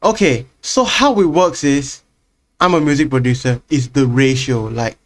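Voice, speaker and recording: A man's voice: mid-range voice, normal speed. A man hosting a reaction video, commenting bluntly and casually, swearing and explaining gear. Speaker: reaction channel host.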